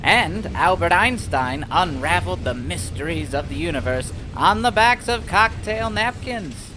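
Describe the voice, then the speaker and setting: A man's voice: sits high, expressive, measured pace, noisy background. A man playing a pompous, wordy scientist who leads petty thugs in a theatrical audio drama. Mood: lecturing.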